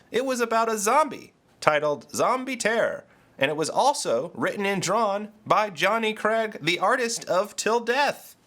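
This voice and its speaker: A man's voice: fairly high pitch, a bit bright, a little hiss or hum. A man playing a fatherly ghost storyteller, narrating in a theatrical, explanatory way. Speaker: host and narrator. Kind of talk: scripted educational narration.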